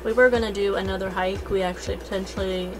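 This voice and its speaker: A woman's voice: medium pitch, steady tone, fast talker, music in the background, rough recording. A woman around her thirties talking casually to the camera as a travel vlogger. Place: inside a car.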